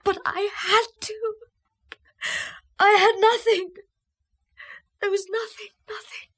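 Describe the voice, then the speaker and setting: A woman acting in a drama as a distraught, secretive, obsessive loner, halting and repeating her phrases. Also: sits high, expressive, slightly rough, heavily acted. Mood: tearful anguish.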